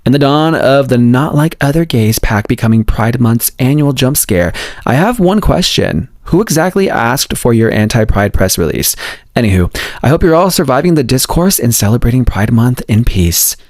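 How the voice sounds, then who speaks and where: A man hosting a horror podcast, talking in a sassy, energetic way. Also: mid-range voice, moderate pace, no room sound, clean studio sound. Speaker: podcast host. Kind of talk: casual interview chat.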